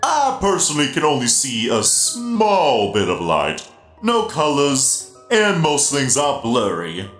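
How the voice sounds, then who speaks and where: A man's voice: medium pitch, huge pitch swings, takes it slowly, a bit bright, full character voice. A man voicing a huge, friendly animatronic spider DJ for a comic dub, genial and playful as he explains things. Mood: genial, explanatory. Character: giant friendly half-blind animatronic spider DJ. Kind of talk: comic dub character acting. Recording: roomy echo, music behind.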